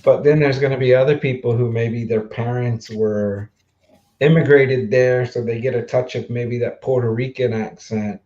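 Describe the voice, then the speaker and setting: A man in his forties from the Mid-Atlantic US, hosting a casual livestream chat, easygoing and quick to laugh, with lots of fillers. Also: mid-range voice, fairly even intonation, mellow, dull audio. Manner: chatty, laughs easily, trails off with fillers. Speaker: livestream host.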